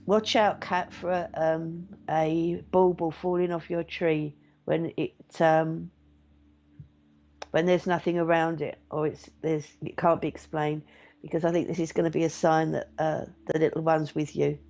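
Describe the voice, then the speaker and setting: Woman, middle-aged, gentle chatty meditation teacher, low gravelly voice, dull lo-fi audio. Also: flat delivery, a little slow. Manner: gentle, chatty, reassuring, with frequent fillers. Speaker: meditation teacher and psychic medium. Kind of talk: casual live-stream chat reading viewer comments.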